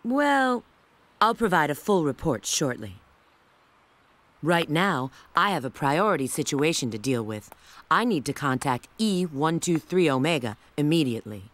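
A woman's voice: low-pitched, big pitch swings, normal speed. An actress playing a sly, confident female bat treasure hunter in a video game, with a cool, teasing manner. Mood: cool, businesslike. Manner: cool, teasing, self-assured. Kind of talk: video game cutscene acting.

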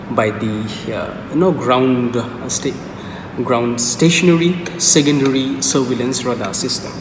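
Male lecturer in an echoey, noisy room. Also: mid-range voice. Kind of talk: informal explanatory lecture. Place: indoor room, echoing. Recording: echoey room, distant mic, clearly noisy.

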